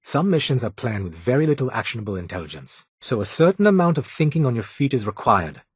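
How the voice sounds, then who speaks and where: A fussy, sardonic male resistance intelligence officer from a video game, with a slightly rough, husky voice. He speaks formally and condescendingly in clipped phrases, his pitch swinging with dry sarcasm. The sound is close and dry. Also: very animated, fast, no room sound. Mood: brisk, businesslike. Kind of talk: video game character dialogue.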